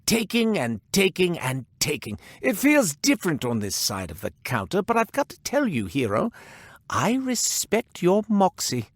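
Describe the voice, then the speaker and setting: A sardonic, put-upon game shopkeeper with a thieving past. His voice sits fairly high and leaps all over its range. He rambles with theatrical exasperation, recorded close and dry. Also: huge pitch swings, measured pace, no echo, full character voice. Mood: grudging respect. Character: beleaguered, sardonic, cursed former thief turned quartermaster shopkeeper. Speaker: video game shopkeeper character voice. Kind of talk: theatrical scripted character dialogue.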